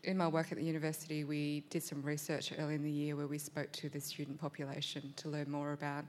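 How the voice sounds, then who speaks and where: A woman speaking on a panel, thoughtful and measured, with frequent pauses and ums. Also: sits low, completely flat delivery, darkish tone. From Australia. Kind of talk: panel discussion.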